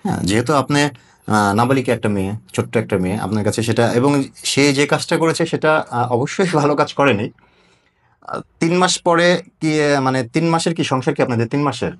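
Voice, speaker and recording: A man's voice: mid-range voice, a little fast. A man interviewing a guest in a conversational way, dropping English words into his speech. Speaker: interviewer, host.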